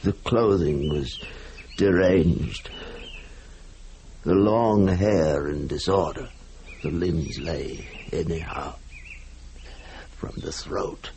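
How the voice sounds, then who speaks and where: An actor playing a haunted, guilt-ridden man recounting horror in a halting, dramatic radio-play manner. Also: sits low, mellow, exaggerated voice. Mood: grim, hushed. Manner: halting, dramatic, broken phrases. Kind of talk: old-time radio horror drama acting. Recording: noisy vintage radio drama recording.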